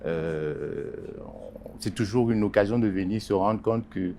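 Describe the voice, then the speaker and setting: A man, a journalist, answering interview questions in a halting way with many pauses and repeated words. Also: on the low side, dark tone, slight background noise. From Congo Basin. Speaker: journalist and editorial writer.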